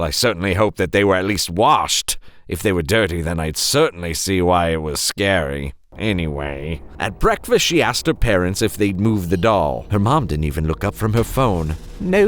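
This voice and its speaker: A man hosting and narrating a horror story in a theatrical, suspenseful style, with playful asides and dramatic pauses. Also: sits low, a bit bright, a little hiss or hum.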